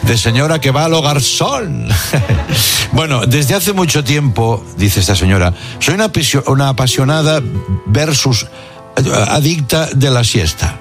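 A male radio host reads listener messages aloud and jokes about them. His voice swings widely up and down with animated energy. Clear music runs under his voice throughout. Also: medium pitch, normal speed. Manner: playful, jokey, reads messages aloud with banter. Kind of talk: comedic casual chat on a radio show.

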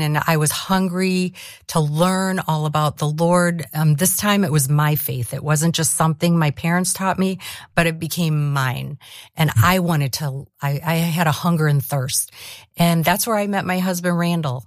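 Low-voiced earnest middle-aged woman. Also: steady tone, unhurried, no room sound, studio quality. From South Korea. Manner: earnest, reflective, laughs often. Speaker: interview guest, missionary author. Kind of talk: personal testimony in a faith interview.

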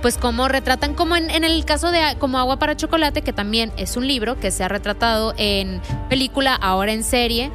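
A high-pitched female voice with a Mexican accent talks through a series review in a chatty, explanatory way. She sounds like a panelist in an informal conversation, with faint music underneath. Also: fairly quick, few pauses, fairly bright tone. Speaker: entertainment review show panelist. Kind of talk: casual series review chat.

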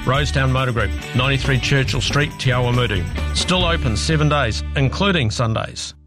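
A man reading his own car dealership ad in a friendly sales pitch. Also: a little fast, music in the background. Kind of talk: scripted radio ad.

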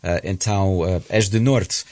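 Very deep-voiced, halting man. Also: a little mellow, dry recording. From United States. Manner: halting, with repeated words. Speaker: guest commentator on a radio programme. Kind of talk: conversational opinion in a radio discussion.

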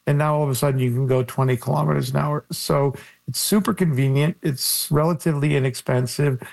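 Creaky-voiced man explaining. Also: fairly high pitch, steady tone, a little mellow. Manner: measured, builds points step by step. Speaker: expert guest. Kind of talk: explanatory interview answer.

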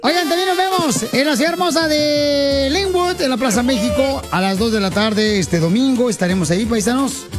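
A man's voice: lively intonation, moderate pace, few pauses. A man with a Mexican Spanish accent hosting a radio show, joking and playful. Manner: joking, playful, high-pitched. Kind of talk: casual radio banter. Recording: music playing behind.

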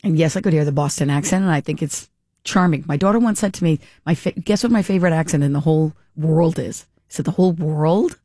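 A woman's voice: low-pitched, fast, warm tone, no echo. Chatty radio host lady, friendly, rambly, dry studio mic. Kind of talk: casual radio interview.

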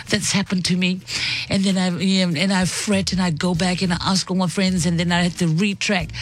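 A radio host on a Sunday morning show, reading a news story aloud and breaking off for chatty, upbeat asides. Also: medium pitch. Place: radio studio.

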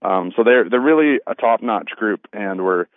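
A man's voice: fairly low pitch, expressive, normal speed, dry recording. A man speaking over the telephone as an interview guest, earnest and polite in a conversational style. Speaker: phone-in guest, festival organiser. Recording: thin, narrow phone line.

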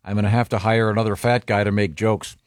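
A man hosting a morning radio show, reading listener letters with halting fillers. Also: lowish voice, level tone, dry recording, clean studio sound. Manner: halting, reads with many uh fillers.

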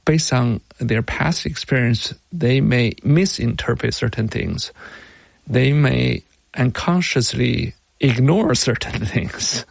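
A middle-aged man with a Chinese accent gives explanatory interview answers in a heavily creaky voice. He speaks slowly and phrases things in a halting, deliberate way, with a close, dry sound. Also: mid-range voice, no echo, poor audio. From Beijing, China. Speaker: tech company founder being interviewed.

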